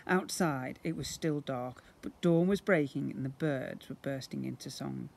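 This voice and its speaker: A British man in his fifties reads a children's storybook aloud like a teacher, theatrically, with drawn-out emphasis and acted sound words. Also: medium pitch, steady tone, gravelly, a little mellow. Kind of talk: expressive children's storybook reading. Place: outdoors beside brick houses. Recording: noisy outdoor amateur video recording.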